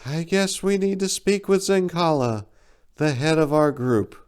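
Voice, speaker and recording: A man's voice: fairly high pitch, fairly even intonation, unhurried, darkish tone, clean tone, voice pushed up, close to an everyday voice. A man voicing a miserable female bird of prey in a storybook tale, resigned and pleading.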